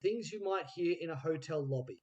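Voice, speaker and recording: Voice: mid-range voice, expressive, fairly quick, darkish tone, lo-fi sound. A podcast host casually interviewing guests and setting up improvisation games.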